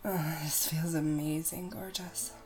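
A woman whispering softly and tenderly in an intimate audio roleplay, coaxing and gentle, with music behind her. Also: low voice, steady tone, a little slow.

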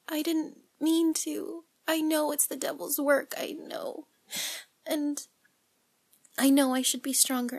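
A woman playing a nervous young woman confessing to a priest, speaking in a breathy whisper with halting, tearful, pleading phrases. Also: high-pitched, darkish tone. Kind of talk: whispered audio roleplay monologue.

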